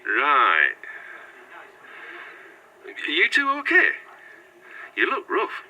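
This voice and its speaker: Animated Northern English barman, bright-voiced. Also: fairly high pitch, very slow, a little hiss or hum, full character voice, rough recording. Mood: matter-of-fact. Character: blunt, helpful Northern pub barman. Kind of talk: audio drama acting.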